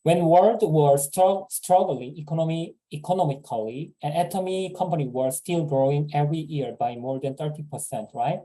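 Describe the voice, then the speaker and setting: A man giving a sales seminar talk, halting and repeating words with many fillers. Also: on the high side, mellow, poor audio. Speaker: business presenter.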